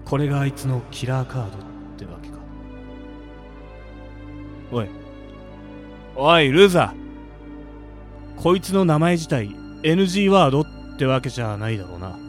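A man's voice: mid-range voice, big pitch swings, normal speed, pauses often, soft background music, lightly acted. A man acting a vengeful, bitter, desperate young man with a hot temper in an intense audio drama. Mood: tense brooding.

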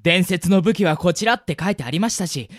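A man's voice: high-pitched, a little fast, dry recording, clean studio sound. A man playing a polite, earnest, worrying healer with formal speech in a comedic radio drama. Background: music.

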